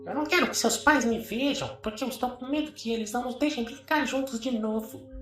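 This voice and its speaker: A high-pitched little creature, echoing and pitched up. It is a childlike, secretive imaginary friend from a horror story, coaxing as it speaks, set back from the mic over music. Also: male voice, brisk, fairly bright tone. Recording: echoey, distant voice over music.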